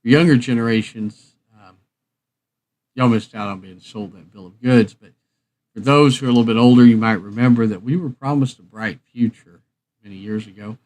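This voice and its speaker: A middle-aged man hosting a livestream, chatting casually and thinking aloud in an unhurried, rambling way. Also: mid-range voice, a little mellow, poor audio. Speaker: livestream host.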